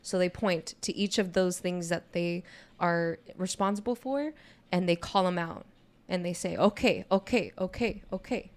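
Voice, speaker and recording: A young adult woman with a naturally low voice chats casually on a podcast, speaking in a candid, informal way peppered with "you know". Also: moderate pace. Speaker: podcast co-host. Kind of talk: casual conversational podcast chat.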